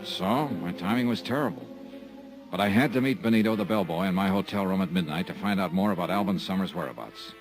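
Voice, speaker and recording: A man's voice: deep voice, fast talker, music in the background, rough recording. An actor playing a tough, dry-witted male insurance investigator in a 1950s radio drama, narrating terse and hard-boiled. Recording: old radio transcription with faint hiss.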